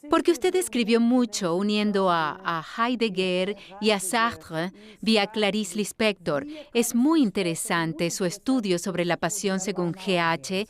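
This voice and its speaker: A woman's voice: medium pitch, measured pace, few pauses. A woman interviewing a scholar, asking engaged, probing questions. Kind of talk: Spanish voice-over of an interview.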